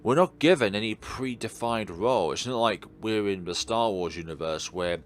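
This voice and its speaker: A man's voice: lowish voice, moderate pace, a little hiss or hum. A man giving casual, rambling and earnest commentary while playing a visual novel. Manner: rambling, earnest philosophical musing. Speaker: video game let's player.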